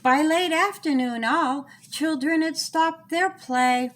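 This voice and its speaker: A woman reading her own rhyming children's story in a deliberate, singsong storytelling manner. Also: sits high, a bit bright.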